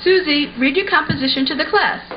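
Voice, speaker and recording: A woman's voice: high voice, brisk, natural voice. A woman playing an encouraging, orderly schoolteacher addressing her class in a scripted puppet show. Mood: instructing. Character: encouraging, orderly female primary school teacher. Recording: dull lo-fi sound with faint noise.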